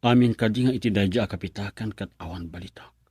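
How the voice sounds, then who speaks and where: A man's voice: lowish voice, fast talker, darkish tone, husky, no echo, studio quality. An actor in a radio drama playing an earnest young man who argues with his mother and courts a waitress. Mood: brooding. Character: young man chasing a mysterious bar girl.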